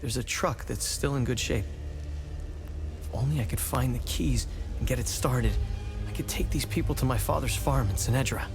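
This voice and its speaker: A man's voice: mid-range voice, normal speed, creaky, music in the background, natural voice. A man playing a weary, protective prison-camp escapee in a video game, earnest and plain-spoken. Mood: earnest, worried. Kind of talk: video game cutscene dialogue.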